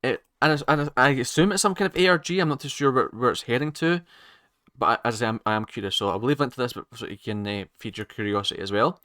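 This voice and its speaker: A man giving casual reaction commentary as a channel host, halting and rambling as he circles back to repeat himself. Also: medium pitch, bright, forceful tone, no room sound, clean studio sound.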